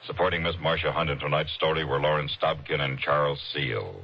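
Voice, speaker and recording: A man announcing in formal, measured 1950s radio style. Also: rumbling low. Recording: muffled old radio broadcast with music.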